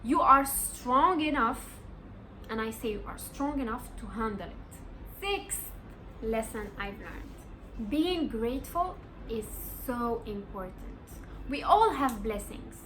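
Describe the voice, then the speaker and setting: A woman in her twenties talks through life lessons in a slow, deliberate vlog delivery. Her voice is bright and sits a little high. She sounds earnest and encouraging, and a faint room ambience hangs behind her. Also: animated, slight background noise, low-quality recording. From Morocco. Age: 20s. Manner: earnest, encouraging, emphatic repeats. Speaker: vlogger. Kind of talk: casual motivational vlog.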